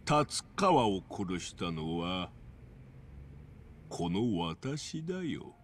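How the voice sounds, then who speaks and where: An actor playing a calm, menacing crime boss who talks politely and condescendingly. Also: male voice, sits low, extremely slow, background noise, full character voice. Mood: cold, dismissive. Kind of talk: video game cutscene acting.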